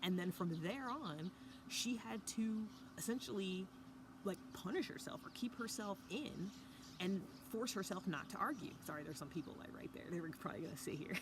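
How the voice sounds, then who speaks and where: A woman talking casually to camera in a hushed early-morning voice, recording outdoors by a fire pit with a mountain view. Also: mid-range voice, expressive, moderate pace. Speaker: vlogger. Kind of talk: casual reflective talk to camera. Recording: outdoor ambience with background noise.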